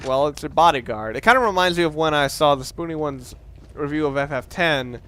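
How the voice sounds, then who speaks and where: A young man with a somewhat high voice hosts a gameplay video. He talks casually and rambles, breaking off his own thoughts, with light noise and game sound behind him. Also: animated, a little fast, a bit bright. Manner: rambling, self-interrupting, conversational. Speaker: let's play host. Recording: faint background noise, game sounds underneath.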